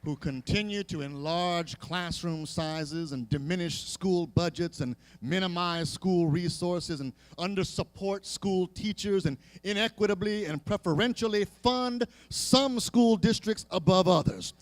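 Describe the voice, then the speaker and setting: A man's voice: high voice, a little slow, few pauses. A man preaching in an impassioned, dramatic oration at a live gathering, with emphatic, rhythmic repetition. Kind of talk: impassioned sermon-style oration at a live event. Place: live public gathering, speaking to an audience.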